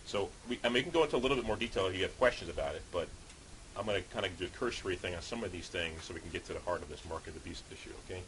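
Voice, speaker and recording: Guy with a lowish voice teaching scripture, informal explaining, bit of hiss behind him. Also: animated, racing, a bit bright, low-quality recording. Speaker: street preacher. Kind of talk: Bible teaching sermon, reading and explaining scripture. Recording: hissy background noise.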